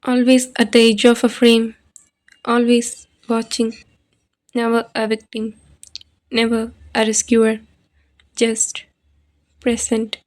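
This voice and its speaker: A woman playing a solemn, ominous storyteller, narrating scripted horror in a deliberate, flat way. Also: on the high side.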